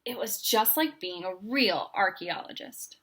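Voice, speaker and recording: A woman's voice: medium pitch, lively intonation, a little slow, fairly bright tone, a little hiss or hum. A woman reading a children's picture book aloud as a storyteller.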